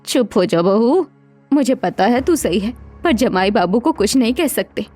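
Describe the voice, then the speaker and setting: A woman's voice: a little high, animated, moderate pace, darkish tone, soft background music, voice pushed down. A woman voicing an older, poor mother-in-law in a cartoon, with a firm, placating manner. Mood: firm, resigned.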